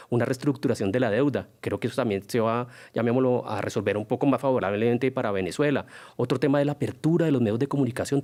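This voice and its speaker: A man's voice: medium pitch, darkish tone. A man, a guest analyst on a television news panel, speaking in long discursive answers. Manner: rapid, discursive, with restarts. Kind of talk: debate commentary.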